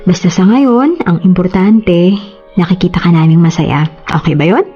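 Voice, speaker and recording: A woman's voice: lowish voice, normal speed, mellow, breathy edge, soft background music. A woman playing a tender, playful young mother in a radio drama, affectionate and coaxing, slipping in English phrases. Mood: reassuring. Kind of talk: Tagalog radio drama acting. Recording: slightly dull audio.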